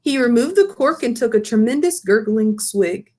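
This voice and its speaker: Animated woman reading a storybook. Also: on the high side, normal speed. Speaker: storybook reader. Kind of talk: expressive scripted narration.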